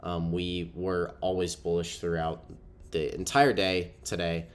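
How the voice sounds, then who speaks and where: A man's voice: sits low, level tone, unhurried. A young man with a stuffy, cold-affected voice, casually explaining a trading setup over a screen recording with lots of ums. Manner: casual, filler-heavy explaining with frequent ums. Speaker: trading educator. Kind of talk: screen-share trading walkthrough.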